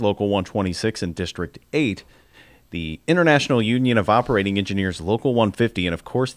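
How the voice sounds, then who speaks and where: A man working as the show's producer, reading the intro and sponsor list in a brisk announcer manner in a studio. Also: on the low side, dry recording. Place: radio studio. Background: organ music.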